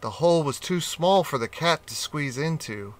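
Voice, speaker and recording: A man reading a children's animal story aloud in a slow, gentle, expressive way. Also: on the high side. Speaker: audiobook reader.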